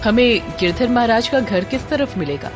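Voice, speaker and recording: A woman's voice: on the high side, expressive, moderate pace, music in the background, close to an everyday voice. Woman voicing a polite, eager young village man. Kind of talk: audio drama dialogue.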